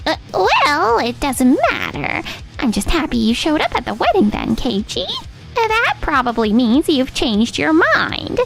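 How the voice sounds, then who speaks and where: A woman playing a haughty, snobbish younger sister in an expressive manga dub, with a dismissive, theatrical delivery. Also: high voice, measured pace, vocal fry, faint music behind, full character voice. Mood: flustered.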